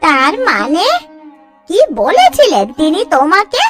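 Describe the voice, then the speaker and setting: A woman's voice: high voice, huge pitch swings, a little slow, exaggerated voice. A woman voicing an anxious, scolding village housewife in a cartoon. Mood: worried. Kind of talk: cartoon dialogue acting. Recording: faint music behind.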